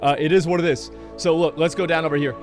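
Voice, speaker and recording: A man's voice: fairly high pitch, fairly even intonation, fast, soft background music. A man streaming a game live, rambling in casual, profane chat with many restarts.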